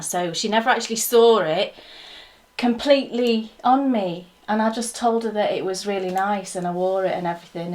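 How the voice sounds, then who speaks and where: A woman co-hosting a casual chat show at home, telling stories in a chatty, easygoing way. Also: mid-range voice. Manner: chatty, storytelling, laughs easily. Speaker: co-host.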